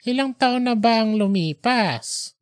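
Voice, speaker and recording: Someone acting out a kindly old churchgoer, gentle n slow, fatherly, audiobook story voice. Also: mid-range voice, animated, dry recording, studio quality, voice pushed up, lightly acted. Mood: fond, reminiscing. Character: devout, kindly old pilgrim, a gentle elder.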